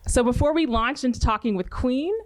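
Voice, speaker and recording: A woman's voice: a little high, brisk. A woman hosting an arts interview series, reading introductions and asking questions in a friendly way with hesitant fillers.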